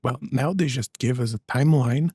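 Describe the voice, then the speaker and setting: A man giving casual, low-key, musing commentary as a gameplay streamer. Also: moderate pace, dark tone, breathy edge, no room sound, studio quality. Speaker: streamer playing and reacting to a game.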